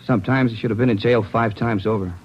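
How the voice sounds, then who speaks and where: An actor plays a cooperative, plain-spoken man in vintage radio drama. His voice is medium-pitched and he talks in brief fragments. The recording is muffled with faint background noise. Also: fairly even intonation, fast talker, close to an everyday voice. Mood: reflective. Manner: short fragmentary sentences. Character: cooperative, plain-spoken male acquaintance of a fugitive. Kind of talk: 1940s radio crime drama acting.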